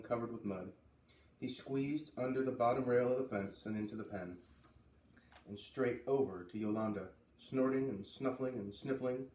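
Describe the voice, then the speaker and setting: A man reading a children's book aloud expressively, with dramatic pauses and emphasis. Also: medium pitch, darkish tone. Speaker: storybook reader. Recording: roomy, slightly distant, faint background noise.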